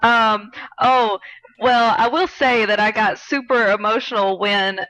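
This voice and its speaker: A woman's voice: fairly high pitch, fairly even intonation, unhurried, fairly bright tone, dry recording, highs cut off. A woman co-hosting a podcast in casual, playful conversation. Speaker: podcast co-host.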